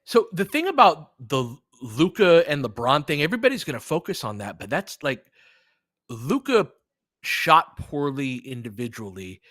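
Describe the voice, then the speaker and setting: A man with a bright, forceful voice hosts casual live sports commentary. He talks conversationally, as if straight to his listeners, moving between play-by-play and analysis. The sound is close and dry. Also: fairly high pitch, sing-song, moderate pace, no room sound. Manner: conversational, chatting back to listeners, mildly profane.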